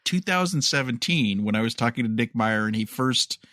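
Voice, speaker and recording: A man's voice: medium pitch, lively intonation, normal speed, a bit bright, no echo, studio quality. A man co-hosting a podcast, chatting casually and offering his opinions in a conversational way. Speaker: podcast co-host. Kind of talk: casual chat about entertainment news.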